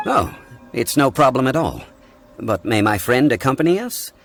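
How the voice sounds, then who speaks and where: A man playing a calm, learned consultant in a video game, speaking in a measured, formal, scholarly way. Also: medium pitch, gravelly. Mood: composed, courteous. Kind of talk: scripted video game dialogue. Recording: music under the voice.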